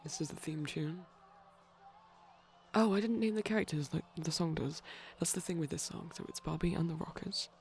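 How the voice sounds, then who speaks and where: A young adult performer playing a shy, nerdy boyfriend in a casual, soft-spoken ASMR ramble, enthusiastic but hesitant. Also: medium pitch, steady tone, normal speed, slight background noise.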